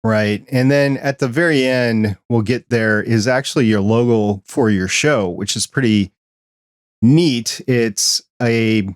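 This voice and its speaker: A man's voice: mid-range voice, takes it slowly, smooth, no echo, clean studio sound. A man hosting a podcast interview, asking friendly, curious questions in a casual chat. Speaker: podcast host.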